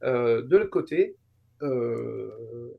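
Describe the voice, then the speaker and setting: A man speaking as a guest in a casual interview, explaining things haltingly and rephrasing as he goes. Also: medium pitch, lively intonation, gravelly, phone-quality sound. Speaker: interview guest, YouTuber and translator.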